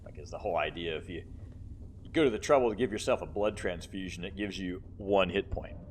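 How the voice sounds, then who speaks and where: A man's voice: low-pitched, a little fast, a little hiss or hum. A man giving casual, rambling commentary as he plays a video game, thinking aloud. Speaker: gaming video host.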